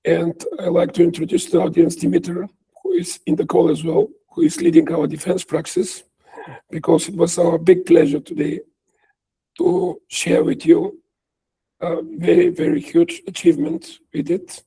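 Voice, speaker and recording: A man's voice: sits high, big pitch swings, moderate pace, vocal fry, mellow, slightly rough, low-quality recording. A man, speaking as a company executive answering questions on an earnings call.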